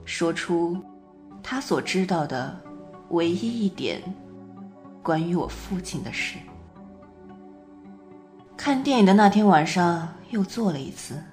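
A woman playing a troubled half-French wife who recounts a recurring dream in a measured amateur radio play monologue, with deliberate phrasing and pauses. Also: fairly low pitch, a little mellow.

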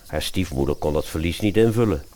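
A man's voice: low voice, animated, brisk, warm tone. A man narrating a scripted fairy-tale radio play as its storyteller.

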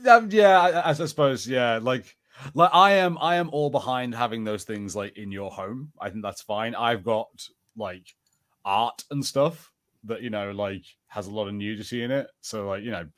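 A man's voice: medium pitch, normal speed, a bit bright. A man co-hosting a podcast, reacting in casual chat with a hesitant, word-repeating way of talking. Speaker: podcast co-host.

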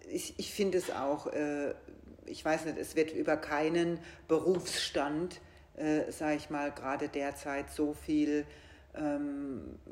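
A woman's voice: low voice, darkish tone. A woman coach with a German regional dialect, talking in a hesitant, thoughtful way with many fillers. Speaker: coach and podcast host. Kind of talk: casual conversation. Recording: echoey room.

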